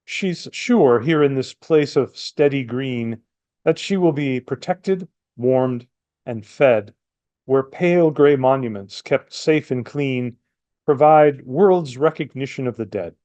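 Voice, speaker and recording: A man presenting and reading sonnets aloud in a measured, plain-spoken way. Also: medium pitch, warm tone, no echo. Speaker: poetry reader.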